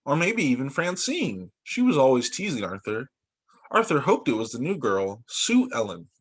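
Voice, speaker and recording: A man reading picture books aloud as a teacher, in an animated, emphatic way meant for young children. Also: medium pitch, normal speed, husky. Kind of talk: expressive children's story read-aloud.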